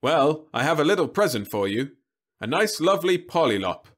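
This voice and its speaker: A bright, oblivious robot salesman, as a man puts him on chirps his patter high and loud. He sounds relentlessly cheerful and mechanical in his eagerness, a storybook machine that never stops selling. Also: big pitch swings, normal speed. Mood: chirpy.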